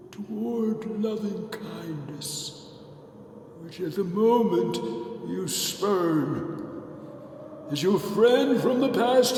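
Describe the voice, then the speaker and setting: A doomed chained ghost. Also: male voice, high voice, darkish tone, heavy reverb, in a large hall, slight background noise, voice pushed up. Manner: slow, drawn-out, declaiming. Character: doomed, mournful male ghost in chains, warning sternly. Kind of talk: rhyming verse storytelling.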